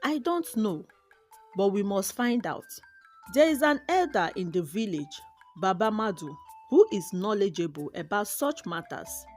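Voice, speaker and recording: An earnest, determined village woman from a folktale acted out by a woman narrator. She speaks firmly and with lively rises in pitch. Faint music plays behind her. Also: a little slow. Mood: concerned. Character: loyal, determined young village woman confronting a witch. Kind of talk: folktale character voice.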